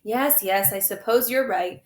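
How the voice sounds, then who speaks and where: A woman voicing a brisk, formal, self-important male doctor from a storybook. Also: mid-range voice, natural voice. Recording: echoey room.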